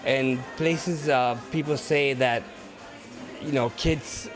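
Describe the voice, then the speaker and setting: A painter and photographer from Cuba giving short, blunt, earnest answers in an interview at an outdoor art event. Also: male voice, mid-range voice, fairly even intonation, slow. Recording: crowd chatter and music behind.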